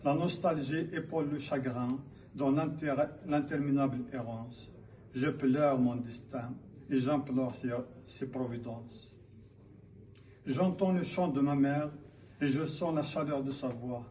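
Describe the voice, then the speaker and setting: A man from Kabylia in Algeria declaiming his own poetry in a solemn, measured manner. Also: mid-range voice, steady tone, warm tone. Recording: distant mic, faint background noise.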